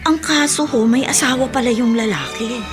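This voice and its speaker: A woman's voice: fairly high pitch, moderate pace, music in the background, close to an everyday voice. A Filipino woman playing a chatty, respectful teacher in a radio drama, telling things in a conversational, deferential way. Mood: confiding.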